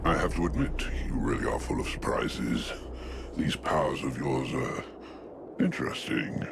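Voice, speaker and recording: An actor playing a suave, mocking undead dark lord in a video game, theatrical and smugly condescending. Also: male voice, extremely low pitch, unhurried, creaky, background noise, full character voice. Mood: condescending, menacing. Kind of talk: video game cutscene acting. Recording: loud music behind the voice.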